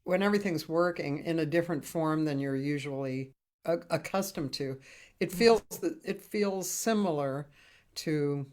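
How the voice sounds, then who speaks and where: A woman's voice: deep voice, moderate pace, darkish tone. A woman hosting a live online show, talking casually and rambling, with many restarts. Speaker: host. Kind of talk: casual chat.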